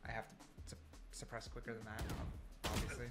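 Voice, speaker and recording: A man's voice: lowish voice, completely flat delivery, brisk. A man giving casual, unscripted gameplay commentary, thinking aloud and correcting himself as he goes in an informal way. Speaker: gaming video creator. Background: background music.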